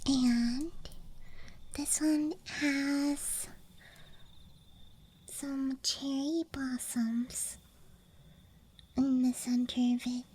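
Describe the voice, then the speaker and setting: Slow, softly whispering woman. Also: high voice, level tone, low-quality recording. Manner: soft, breathy, unhurried whispering, murmuring to the listener. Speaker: ASMR host. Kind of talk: whispered ASMR show-and-tell. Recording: faint background noise, outdoor night ambience. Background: faint rural night ambience sounds.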